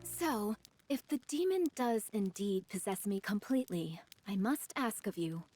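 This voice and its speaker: A woman's voice: mid-range voice, lively intonation, unhurried, a little mellow, soft background music. A woman playing a loyal, self-dramatizing young warrior who speaks formally and solemnly in a game dub. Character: grandiose, loyal young woman warrior with dark-fantasy delusions. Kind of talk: English game dub voice acting.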